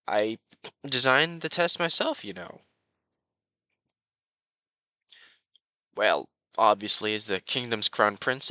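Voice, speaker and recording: A man playing a courtly young crown prince, besotted and quietly possessive, speaking formally and floridly to the listener in a romantic audio roleplay. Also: fairly low pitch, no echo. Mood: proud, fond. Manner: formal, flowery, genteel speech.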